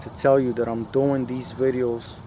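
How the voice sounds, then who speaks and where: A man from a post-Soviet country speaking in a casual, reflective monologue, halting and repeating himself, with lots of slangy filler. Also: medium pitch, little pitch movement, mellow, a little hiss or hum. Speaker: podcast host.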